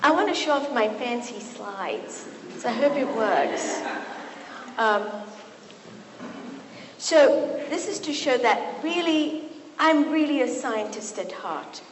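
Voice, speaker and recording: A middle-aged woman from Kenya, a physician and author, giving a public talk with deliberate, emphatic pauses. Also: a little high, expressive. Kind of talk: public talk at a book event. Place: large resonant university hall. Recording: echoey hall, some background noise.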